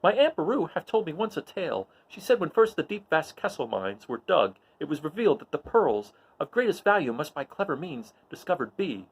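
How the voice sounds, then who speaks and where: Man acting out young rebel farmboy hero, high pitched, swooping, earnest, declamatory Shakespearean storybook reading. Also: big pitch swings, fairly quick, few pauses, slightly rough, exaggerated voice, rough recording. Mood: troubled, scheming.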